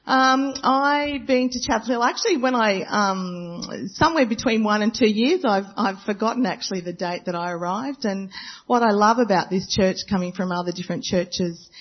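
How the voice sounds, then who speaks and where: A middle-aged woman with a naturally loud voice, speaking into a handheld mic at church about her welcome there. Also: mid-range voice, normal speed. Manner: loud-voiced, warm, giggly and flustered. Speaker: church member. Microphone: handheld microphone. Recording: dull lo-fi church recording.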